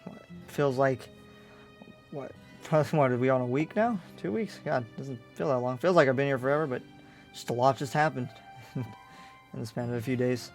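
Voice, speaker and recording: A man playing an easygoing, agreeable newcomer to town in an improvised Minecraft anime roleplay, replying casually and a little haltingly. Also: mid-range voice, faint music behind, natural voice. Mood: casual, hesitant.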